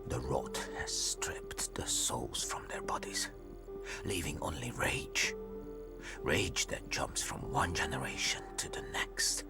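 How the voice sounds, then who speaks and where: A man voicing a grave, embittered old leader in a video game, telling lore in an ominous, gravelly mutter. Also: a bass voice, heavily acted. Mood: bitter warning. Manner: slow, ominous, breathy muttered storytelling. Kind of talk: dramatic game narration. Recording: loud music behind the voice. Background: loud game music.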